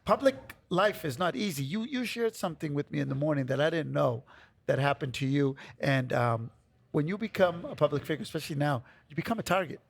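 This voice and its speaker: A man's voice: on the high side, expressive, brisk, vocal fry. A man hosting a live panel in a casual, playful, teasing way with the guests and audience. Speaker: host moderating a panel. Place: live event in a public library.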